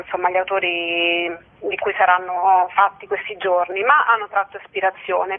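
Woman on a tinny phone line, explaining haltingly. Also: medium pitch. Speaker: guest, theatre company member presenting a festival. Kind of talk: radio interview, explaining an event programme. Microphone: telephone.

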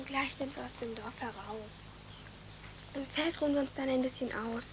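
A woman's voice: sits high, moderate pace, noisy background. An actress playing a plucky, responsible young village girl in a radio drama, speaking earnestly and insistently to protect her sister. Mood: firm, protective. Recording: studio radio drama with music underneath.

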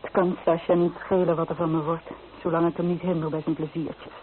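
A woman's voice: fairly low pitch, little pitch movement, fairly quick, lightly acted. An actress playing a homesick, bitter young provincial woman in a radio play, speaking earnestly and wistfully. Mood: hurt, indignant. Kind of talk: Dutch radio play acting. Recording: thin, phone-like old radio recording.

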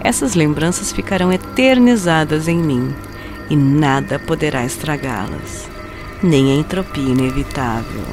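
A woman playing a macabre killer who recounts her crime in a deliberate, intimate way, in an audio horror drama. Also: low-pitched, expressive. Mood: wistful, resolute. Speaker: voice actor narrating an audio drama. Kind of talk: dramatized first-person horror story narration. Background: background music.

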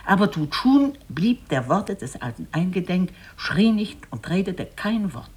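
A storyteller narrating a literary fairy tale in a deliberate, dramatic and expressive way. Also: mid-range voice, slightly rough.

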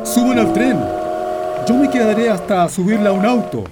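A man's voice: high voice, animated, normal speed, very noisy. A man playing a stern, protective father in a radio drama. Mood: commanding.

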